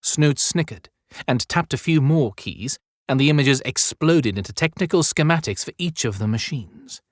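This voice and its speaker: A man's voice: mid-range voice, expressive, normal speed, dry recording, clean studio sound. A man narrating a scripted adventure story as an audiobook narrator.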